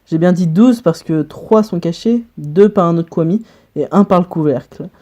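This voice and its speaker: A man's voice: fairly high pitch, moderate pace, warm tone, dull audio. A man co-hosting an online fan theory video in casual chat with a partner.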